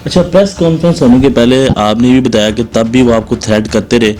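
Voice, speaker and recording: A man's voice: mid-range voice, brisk, dark tone, a little hiss or hum. A man with a Pakistani accent speaks as a host, introducing and interviewing in a news style.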